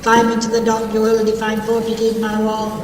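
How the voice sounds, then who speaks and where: A performer voicing a pompous, menacing little mutant robot in a sci-fi comedy, speaking in a slow, officious monotone. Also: medium pitch, close to an everyday voice. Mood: officious menace. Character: pompous menacing diminutive mutant robot Pepperpot, a Dalek parody. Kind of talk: comic audio sci-fi parody. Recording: echoey hall, distant, faint noise.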